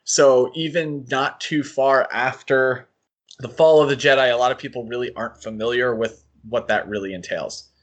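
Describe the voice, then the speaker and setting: A man co-hosting a podcast, discussing things casually in a halting, hedging way. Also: mid-range voice, fairly even intonation. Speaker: podcast co-host.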